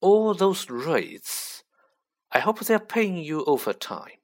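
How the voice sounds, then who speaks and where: A man's voice: fairly high pitch, sing-song, slow, pitched above the performer's own voice, full character voice. A man doing a drawling, sneering aristocrat. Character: sneering cold aristocratic older wizard.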